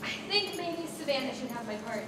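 High, echoey young woman as insecure schoolgirl. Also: animated, brisk. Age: teens. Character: insecure, self-doubting teenage schoolgirl singer. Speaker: high school student actor. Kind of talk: amateur stage play acting. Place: theater stage in large auditorium. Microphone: far camera in audience. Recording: echoey auditorium, distant camera, noisy room.

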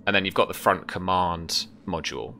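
A man in his thirties with a low, bright voice runs a tabletop game. He describes scenes in hesitant pieces, close to the mic on a video call. Soft music plays faintly behind him. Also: brisk, vocal fry. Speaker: game master. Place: small room at home with bookshelves.

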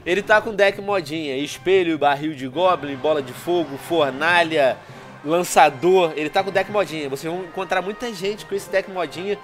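A man's voice: a little high, normal speed, soft background music. Congested Brazilian man gaming on a headset. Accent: Brazilian Portuguese. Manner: chatty, addresses viewers constantly, excitable outbursts. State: congested with a cold. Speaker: gaming YouTuber. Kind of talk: casual gameplay commentary. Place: small room at home, indoors.

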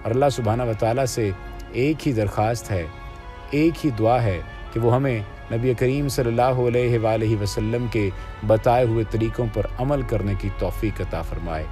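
Guy doing a formal religious voice-over, steady and measured, soft music underneath. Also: medium pitch. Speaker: religious narrator. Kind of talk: scripted Islamic narration. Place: voice-over over stock footage with music.

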